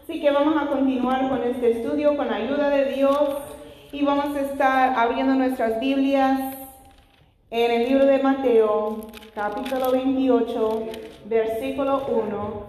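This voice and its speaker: A woman whose first language is not Spanish leads a church Bible study. Her voice sits a little high, and she keeps pausing to praise God and put questions to the room. It is recorded in a church hall with heavy, long reverb. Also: fairly even intonation, a little mellow. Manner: frequent "Gloria al Señor" interjections, asks the congregation questions.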